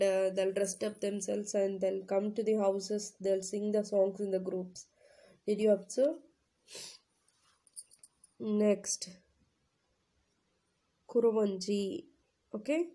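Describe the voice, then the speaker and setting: A woman's voice: medium pitch, mellow. A woman teacher with a cold, explaining a lesson to her class with many fillers and checking questions. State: has a cold. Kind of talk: classroom lecture, reading then explaining.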